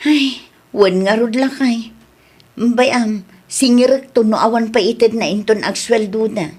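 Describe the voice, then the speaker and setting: Village wife played by a woman, fairly high voice, expressive, easygoing, teasing, radio comedy drama. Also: measured pace, husky. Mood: placating.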